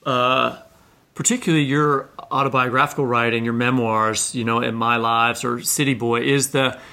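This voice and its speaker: A man co-hosting a conversational literary interview, discursive and halting, often checking in with the listener. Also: mid-range voice, steady tone, gravelly, sharp tone. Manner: discursive, halting phrasing, checks in with "right?" and "you know". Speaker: podcast co-host.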